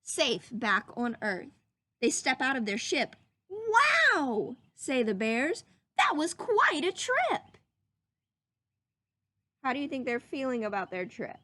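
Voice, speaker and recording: A woman reading a children's picture book aloud in an animated teacher's way, drawing out words and pausing to put questions to young listeners. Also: high voice, no echo.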